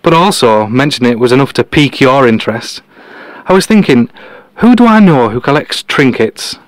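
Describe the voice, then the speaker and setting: Male actor as cocky detective genius; deadpan, teasing, mid-pitched, close dry sound. Audio drama. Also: normal speed, no echo, close to an everyday voice. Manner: deadpan, matter-of-fact. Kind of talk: podcast-style audio drama dialogue.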